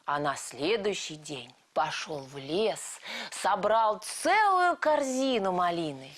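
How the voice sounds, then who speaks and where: A woman playing a friendly young storyteller in a children's cartoon, with an expressive, gentle, teacherly way of talking. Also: fairly low pitch, slow pace, exaggerated voice. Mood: storytelling.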